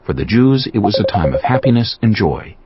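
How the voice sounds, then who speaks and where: A man with a very low, expressive voice delivers solemn scripted scripture narration. His pitch moves widely. Music is clearly present under a slightly muffled recording. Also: fairly quick. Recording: muffled audio with prominent music.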